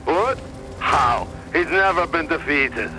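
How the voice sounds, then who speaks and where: Animated sarcastic sidekick. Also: male voice, on the high side, slow, over loud music, low-quality recording. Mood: stunned. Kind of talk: video game cutscene acting.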